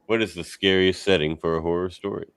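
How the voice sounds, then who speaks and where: A man's voice: deep voice, steady tone, quick pace, clean tone, no room sound. A man hosting a debate show in a casual, unscripted way.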